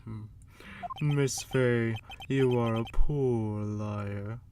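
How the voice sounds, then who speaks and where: Pompous game villain: menacing, mock-polite, very drawn out, noisy amateur recording. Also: male voice, mid-range voice, fairly even intonation, very slow. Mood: smug menace. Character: pompous, menacing male villain, smug and theatrical. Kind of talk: fan dub voice acting of a video game.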